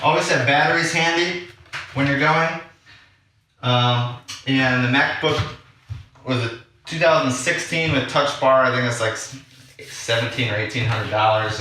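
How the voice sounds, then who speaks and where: A man's voice: mid-range voice, normal speed, pushed and bright, slight background noise. A man talking casually and unscripted, explaining his equipment as a vlog host. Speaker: audio drama maker presenting his equipment. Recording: very echoey room, distant from mic.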